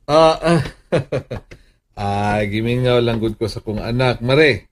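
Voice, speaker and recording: A man's voice: medium pitch, takes it slowly, a little hiss or hum, close to an everyday voice. A man playing a courteous, probing middle-aged father in a radio drama, hesitant and stumbling between words. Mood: sheepish. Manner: hesitant, full of ums and chuckles. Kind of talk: Filipino radio drama acting.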